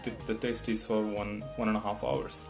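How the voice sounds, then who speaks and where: A man's voice: fairly low pitch, animated, normal speed. A man whose first language is not English gives rambling test-prep advice, restarting often and checking in with "right?". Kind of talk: casual advice talk to camera. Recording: guitar music in the background.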